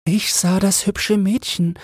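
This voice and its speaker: Breathy, high-voiced little mermaid girl acted by a man. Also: level tone, slow, dry recording, clean studio sound, exaggerated voice. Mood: wistful sorrow. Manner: tender, wistful. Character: gentle, lovelorn, self-sacrificing young mermaid girl. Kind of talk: fairy tale audiobook character voice.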